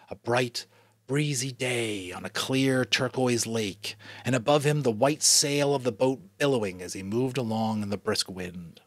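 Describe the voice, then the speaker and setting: Man from new england, dramatic audiobook reading, very lively pitch, dry close sound. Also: dry recording. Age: adult, a father. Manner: expressive, varied delivery. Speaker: audiobook reader.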